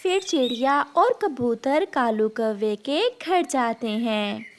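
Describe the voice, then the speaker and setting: Slow, high-pitched woman narrator. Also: expressive, a little hiss or hum. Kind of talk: scripted cartoon story narration.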